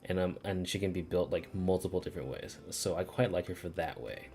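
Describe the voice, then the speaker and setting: A man's voice: low-pitched, fairly quick, creaky, mellow. A man streaming a video game, chatting casually, thinking aloud in a rambling way with some swearing. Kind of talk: casual livestream chat over gameplay. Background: video game music.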